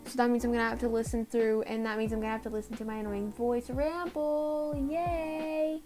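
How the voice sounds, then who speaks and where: A tired young woman with a slightly raspy voice, rambling and apologizing in a casual voiceover for her art channel. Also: on the high side, steady tone, a little fast. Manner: rambling, apologetic, self-deprecating. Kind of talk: casual voiceover over a speedpaint. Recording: voiceover over loud background music. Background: background music.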